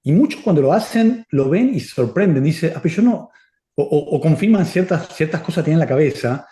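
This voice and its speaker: A man's voice: fairly high pitch, lively intonation, a little fast, dark tone. Middle-aged man, echoey room. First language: Spanish. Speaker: speaker answering audience questions. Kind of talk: casual Q&A talk.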